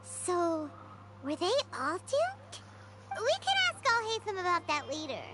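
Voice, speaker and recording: A woman voicing a tiny, excitable childlike fairy companion in a video game, squeaky and exclamatory. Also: measured pace, over loud music, heavily acted. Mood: earnest. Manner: refers to self in third person, exclamatory.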